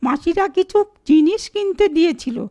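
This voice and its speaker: A woman acting a high-voiced, earnest young woman. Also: animated, moderate pace, warm tone, lo-fi sound. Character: earnest young woman explaining away a misunderstanding. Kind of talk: cartoon story dialogue.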